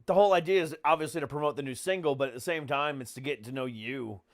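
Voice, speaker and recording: A man's voice: medium pitch, quick pace, no room sound, studio quality. An American man hosting a podcast in casual chat, speaking bluntly and swearing freely. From United States. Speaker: podcast host and comedian.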